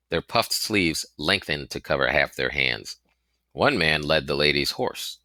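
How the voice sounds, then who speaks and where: Very deep-voiced man reading a story aloud. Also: sharp tone, no room sound. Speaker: audiobook reader. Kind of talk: scripted storybook narration.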